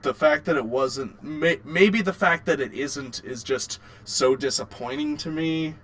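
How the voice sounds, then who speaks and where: A man co-hosting a podcast in casual chat, halting and often restarting his phrases. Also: on the high side, a bit bright. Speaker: podcast co-host. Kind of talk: casual chat about video game movies.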